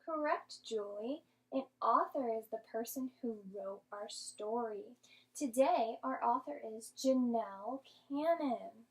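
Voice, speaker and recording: A woman teaching young children through an interactive read-aloud, speaking in clear instructional phrases. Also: a little high, poor audio. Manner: clear instructional phrasing, questioning, sounding out syllables. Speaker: primary school teacher.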